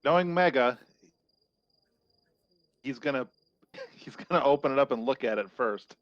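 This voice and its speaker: A man's voice: medium pitch, lively intonation, a little slow, highs cut off. A man playing a tabletop game, casually narrating his character's actions and hesitating over repeated words. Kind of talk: casual roleplay narrated in third person.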